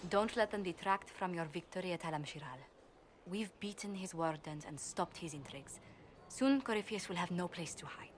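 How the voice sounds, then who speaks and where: A woman's voice: on the low side, animated, moderate pace, slight background noise, natural voice. A woman playing a polished young diplomat in a video game, courteous, formal and firm. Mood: reassuring. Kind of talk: video game cutscene acting.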